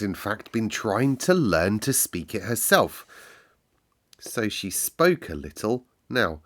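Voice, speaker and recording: A man's voice: fairly low pitch, fairly bright tone. A man narrating a classic children's novel as a read-aloud storyteller.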